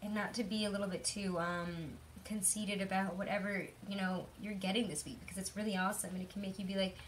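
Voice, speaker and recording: A young woman with a hoarse voice giving a chatty, rambling tarot reading, full of fillers. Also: mid-range voice, flat delivery, fast, a little hiss or hum. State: hoarse from illness. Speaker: tarot reader. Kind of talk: casual tarot reading monologue.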